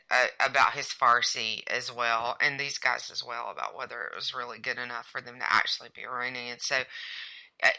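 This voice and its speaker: A woman's voice: very deep voice, bright, forceful tone, rough recording. A woman co-hosting a casual podcast conversation, talking things through with wry asides. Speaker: podcast co-host. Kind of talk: casual chat about cybersecurity.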